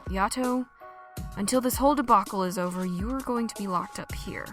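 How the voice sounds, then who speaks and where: A woman's voice: medium pitch, natural voice. Stern woman commander, acted, over music. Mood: stern, upset. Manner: authoritative, measured, serious. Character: stern, weary female commander of a covert organization. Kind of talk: dramatic voice acting in a fan audio drama.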